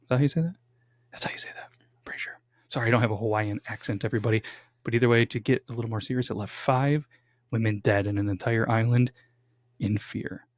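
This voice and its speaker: A man's voice: fairly low pitch, fairly even intonation, quick pace, dry recording, studio quality. A young man co-hosting a casual true-crime podcast from home, chatty and jokey with dramatic build-ups. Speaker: podcast co-host. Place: home studio.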